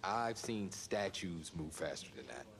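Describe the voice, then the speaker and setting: Young football rookie in a game cutscene, excitable n earnest, pitch all over, music behind. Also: male voice, measured pace, heavily acted. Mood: wry, joking. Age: young adult. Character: eager, flustered young football draft prospect. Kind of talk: naturalistic game cutscene acting.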